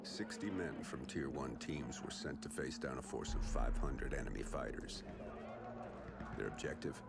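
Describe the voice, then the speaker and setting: A man acting as a grave military narrator in a game, gravelly and solemn, telling a tale in measured phrases. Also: rumbling low, animated, exaggerated voice. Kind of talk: scripted dramatic game narration. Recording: loud cinematic music behind.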